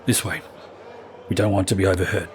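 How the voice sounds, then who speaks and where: A man's voice: low voice, steady tone, a little fast, noisy background, natural voice. A man acting a wary, menacing thief and gang leader with formal, guarded speech in a fantasy audio drama. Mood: wary, stern.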